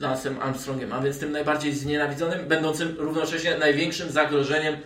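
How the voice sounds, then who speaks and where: A man's voice: on the high side, little pitch movement, a little fast, a bit bright. Male podcast moderator for football talk; medium voice, set back from the mic in a reverberant space. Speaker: podcast host. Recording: roomy echo, distant from mic.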